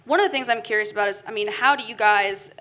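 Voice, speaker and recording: A woman with a bright voice moderates a conference panel. She frames long questions in a loose, conversational way with easy fillers. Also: mid-range voice, fast. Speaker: moderator, trade-press editor.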